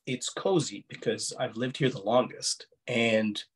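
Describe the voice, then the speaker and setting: Husky man with a slight foreign accent. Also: mid-range voice, measured pace. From Egypt, Kuwait and Canada. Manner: hesitant, explanatory, often rephrasing. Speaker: interviewee. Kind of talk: reflective interview answers. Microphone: video call. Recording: husky-sounding video call audio.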